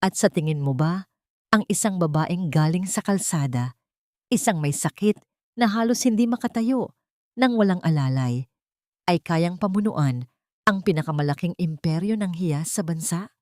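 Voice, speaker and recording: A woman's voice: on the low side, expressive, normal speed, a little mellow, dry recording, clean studio sound, natural voice. A woman voicing a scheming middle-aged man who sounds mockingly sweet in an audio drama story.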